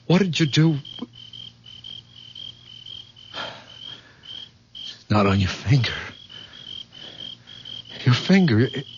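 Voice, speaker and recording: Animated, urgent young man. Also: mid-range voice. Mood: shaken. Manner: urgent, exasperated. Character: impatient, insistent young man at the wheel. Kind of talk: 1970s radio horror drama acting. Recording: dull, noisy vintage radio recording.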